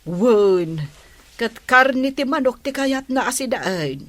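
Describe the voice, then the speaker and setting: A woman's voice: fairly high pitch, animated, takes it slowly, a bit bright, no echo. A woman playing a village captain's patient but nagging wife in a comedy radio drama. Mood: asking a favor.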